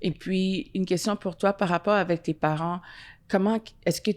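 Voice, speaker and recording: A woman's voice: on the low side, steady tone, normal speed, darkish tone. A middle-aged woman hosting an interview, friendly and rambling as she asks questions with restarts. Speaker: podcast host. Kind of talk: casual interview.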